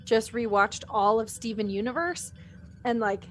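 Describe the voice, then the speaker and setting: A woman's voice: on the high side, steady tone, moderate pace, a bit bright, faint music behind. A middle-aged woman in a casual panel chat as a cast member answering fan questions, giggly and self-deprecating.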